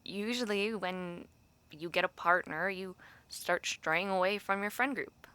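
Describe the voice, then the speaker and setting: A woman's voice: mid-range voice, measured pace, sharp tone, smooth, no echo. A young woman playing a thoughtful, romantic university student who confides hesitantly in a friend, in an intimate, conversational audio roleplay. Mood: wistful.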